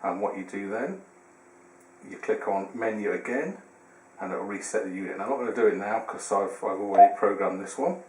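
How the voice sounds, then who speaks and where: Low-voiced man giving a roomy tutorial. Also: a little fast, a little mellow. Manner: hesitant, explaining step by step. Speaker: tutorial presenter. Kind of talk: casual product how-to demonstration. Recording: roomy, distant mic, faint noise.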